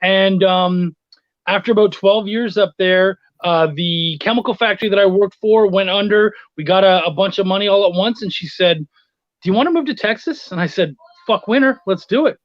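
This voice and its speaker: A middle-aged man telling anecdotes in a casual interview, blunt and quick to swear. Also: sits high, no echo, lo-fi sound. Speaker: interview guest, freelance writer.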